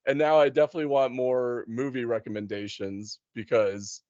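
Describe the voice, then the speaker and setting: A man's voice: medium pitch, steady tone, moderate pace, darkish tone, dry recording, dull audio. A man hosting a casual chat, talking in an enthusiastic, conversational way. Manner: enthusiastic, frequent laughter. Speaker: podcast host. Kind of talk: casual chat about role-playing games and films.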